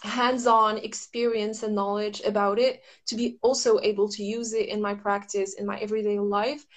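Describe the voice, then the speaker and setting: A woman from Morocco talks casually and reflectively as an astrology practitioner, often pausing to search for the right words. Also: mid-range voice, flat delivery.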